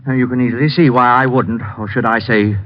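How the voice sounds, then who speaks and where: An actor playing a polite, hesitant, newly widowed husband in a 1940s radio drama. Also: male voice, mid-range voice, fairly even intonation, a little fast, a little hiss or hum, close to an everyday voice. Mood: uneasy explaining. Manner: hesitant, courteous. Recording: muffled old-time radio recording.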